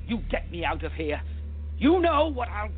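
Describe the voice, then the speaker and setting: A man's voice: high voice, sing-song, normal speed, a little hiss or hum. A man playing an arrogant, slippery criminal in a radio play, theatrical and haughty, defiant when cornered. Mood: desperate fury. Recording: tinny, muffled phone-like line.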